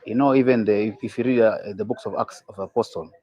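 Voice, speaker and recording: A man from Kenya calling into a debate, speaking haltingly, repeating his words and talking over the host. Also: lowish voice, fairly even intonation, dark tone, dry recording. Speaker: caller. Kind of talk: religious argument in a call-in debate.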